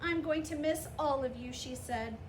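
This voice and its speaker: A woman's voice: high-pitched, normal speed. A woman reading a children's picture book aloud, giving the dialogue expression. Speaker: storybook reader. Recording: roomy, distant mic, clear background noise.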